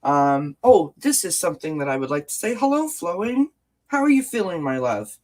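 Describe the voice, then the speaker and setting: A woman hosting a casual livestream from home with a stuffed-up nose, greeting viewers in the chat by name with pet names and often pausing on filler words. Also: lowish voice, big pitch swings, darkish tone. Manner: frequent filler words and restarts. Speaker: livestream host. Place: indoors at home, lights off, sunny window behind.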